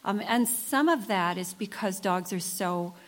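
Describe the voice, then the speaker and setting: A woman's voice: medium pitch, expressive, a little slow. A woman writer speaking on a convention panel and talking through ideas thoughtfully. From United States. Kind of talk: panel discussion.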